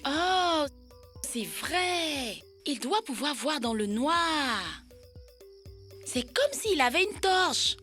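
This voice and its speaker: A woman's voice: high-pitched, very animated, very slow, sharp tone, faint music behind, heavily acted. A woman playing an inquisitive young child in an educational children's cartoon dub. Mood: amazed.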